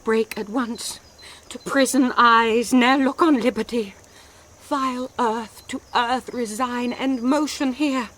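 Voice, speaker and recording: An actress with an English accent playing a passionate, impatient young noblewoman in a classical radio drama, speaking breathy, intense verse. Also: fairly high pitch, fairly even intonation, slow, a bit bright. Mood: grief-stricken. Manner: poetic, breathy, intense declamation. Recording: noisy 1990s radio drama recording.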